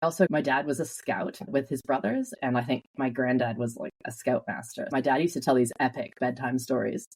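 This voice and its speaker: A Canadian woman telling stories with lively energy as an interview guest, laughing often. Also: extremely low pitch, fairly quick, hardly any pauses, husky, low-quality recording. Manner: animated storyteller, laughs often. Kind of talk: casual interview.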